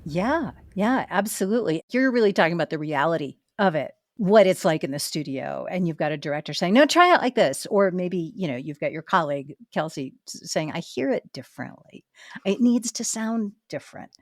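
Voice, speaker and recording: Lower-voiced woman host, dry sound. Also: very animated, fairly quick, no echo. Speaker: podcast host. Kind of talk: casual interview chat.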